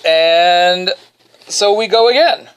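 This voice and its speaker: A man tells a funny, self-deprecating story to his podcast listeners, singing short bits under his breath. He is recording at home in his bedroom. His voice is smooth and clear and sits a little higher than most men's. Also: slow pace. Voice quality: baritone. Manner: self-deprecating, animated storyteller who sings snippets. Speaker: podcast host. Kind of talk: casual solo podcast storytelling.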